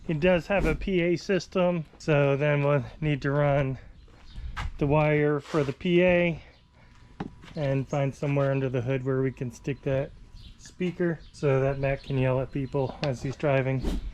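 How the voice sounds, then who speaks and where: A man's voice: on the high side, fairly even intonation, a little slow, darkish tone. A man giving a casual, unscripted hands-on installation walkthrough, thinking aloud informally as he works. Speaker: vehicle installer presenting a how-to video. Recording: noisy, with clear background vehicle noise.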